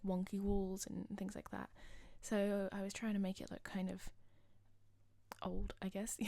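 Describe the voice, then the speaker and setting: A young woman with a slightly husky voice, rambling casually over a game build video. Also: medium pitch, mellow, no room sound. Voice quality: slightly husky, losing her voice. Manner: rambling, chatty, self-deprecating. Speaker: gaming channel host.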